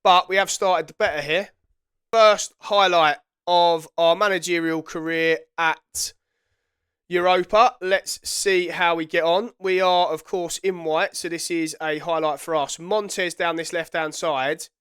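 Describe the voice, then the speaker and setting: A man's voice: on the high side, steady tone, measured pace, a bit bright, clear, resonant tone, no echo, clean studio sound. Man with a head cold, chatty game commentary. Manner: chatty, self-correcting, muttering asides. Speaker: gaming YouTuber. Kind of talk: casual gameplay commentary.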